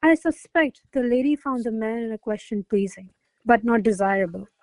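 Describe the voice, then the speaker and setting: A woman's voice: fairly high pitch, lively intonation, moderate pace, a little mellow, no room sound, low-quality recording. A woman playing a haughty noble lady who commands and questions in a radio play. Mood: coy, pensive. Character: imperious, jealous noble lady, probing and commanding. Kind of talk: stage verse drama read as radio play.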